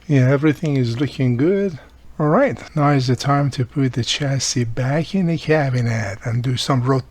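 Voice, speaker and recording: Slow, creaky-voiced non-native English-speaking man. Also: medium pitch, darkish tone. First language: not English. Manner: step-by-step explaining with frequent check-in phrases. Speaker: radio repair hobbyist presenting a tutorial. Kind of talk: hands-on repair demonstration, unscripted narration.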